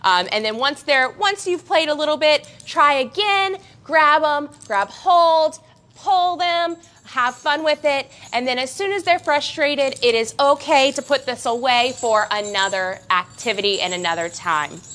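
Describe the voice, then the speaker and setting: A young woman teaching a parent and baby gym class in a coaxing, sing-song way, her voice swooping up high on playful words. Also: unhurried, fairly bright tone, faint music behind. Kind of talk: instructional class for parents and babies.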